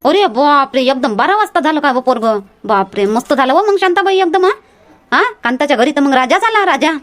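A woman acts out an eager village woman from a homemade comedy cartoon. She is outspoken and talks quickly in a high voice. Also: animated, a bit bright. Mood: amazed.

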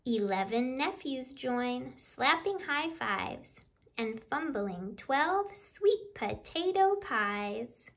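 A woman's voice: high voice, animated, takes it slowly. A woman in her thirties hosting a children's storytime, speaking expressively to young listeners in a living room, a few feet from the camera. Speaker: library storytime host. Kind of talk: children's picture book read-aloud. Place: small living room by a window.

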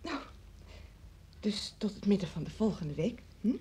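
An actress in a Dutch radio drama playing a quietly reproachful wife in a strained marriage, in a breathy, husky voice. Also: female voice, mid-range voice, animated, unhurried. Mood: politely suspicious.

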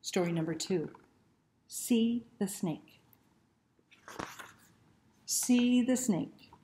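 Slow-paced woman hosting children's story time. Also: medium pitch, warm tone, a little hiss or hum. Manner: questioning, instructive, addressing young children. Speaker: library story time host. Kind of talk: children's picture book read-aloud and chat. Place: small indoor room. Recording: roomy indoor echo.

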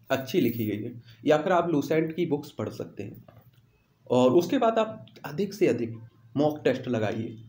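A man's voice: mid-range voice, dark tone. A man teaching exam preparation, explaining in an instructive way and repeating key points. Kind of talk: explanatory quiz lesson with study advice. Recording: roomy, echoing voice-over.

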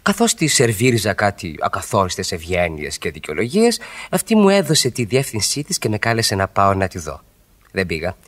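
A bashful, self-mocking married man of radio theatre. He speaks with lively rises and falls, hesitant and wry, as a faithful husband would confess. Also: lowish voice, heavily acted. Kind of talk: mid-century Greek radio theatre acting.

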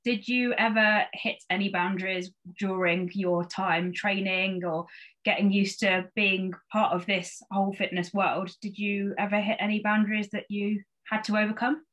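A woman's voice: medium pitch, little pitch movement, normal speed, a bit bright, lo-fi sound. A woman in her thirties hosting a casual, conversational interview over a video call, speaking into a studio microphone. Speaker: interview host. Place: small indoor room, video call. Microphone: studio microphone on a stand.

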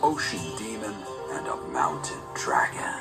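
An actor voicing a solemn, stately legendary warrior god in a game trailer, with a grave, measured delivery. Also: male voice, lowish voice, big pitch swings. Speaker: game trailer narrator and character. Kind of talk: solemn storytelling narration. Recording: played trailer under loud music.

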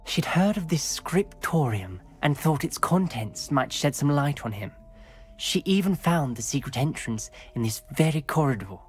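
A man's voice: on the high side, very animated, moderate pace, soft background music. A man playing a troubled, principled teenage schoolboy wizard in a video game, speaking with a British accent in a formal, earnest way. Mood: grave, wary. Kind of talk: dramatic video game voice acting.

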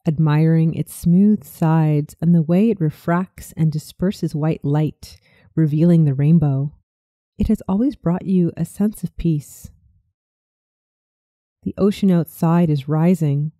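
A narrator reading a scripted guided visualization story in a soothing, measured manner. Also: mid-range voice, warm tone, dry recording, clean studio sound.

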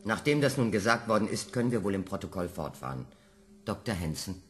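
An actor playing a brisk, formal male chairman running a commission hearing. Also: on the low side, expressive, a little hiss or hum, lightly acted. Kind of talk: radio play acting.

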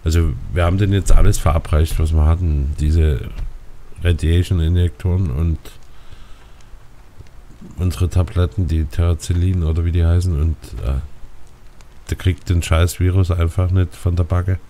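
A man's voice: low-pitched, normal speed, a little hiss or hum. A man casually chatting while playing a game with a friend and talking to viewers, a bit stuffy-nosed. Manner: relaxed, talks to viewers. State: stuffy nose. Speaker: let's play host. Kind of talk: casual gaming chat.

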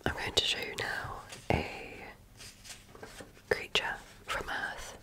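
Slow, whispering ASMR woman. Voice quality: soft breathy whisper. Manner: gentle, attentive, reassuring clinician. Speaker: ASMR creator playing an alien medical examiner. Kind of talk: ASMR roleplay, whispered personal-attention exam.

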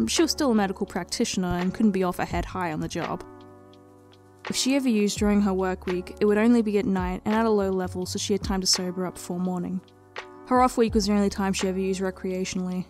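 A woman's voice: mid-range voice, a little mellow. A woman narrating her own written story as a dramatic reading, in the manner of a storyteller. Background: background music.

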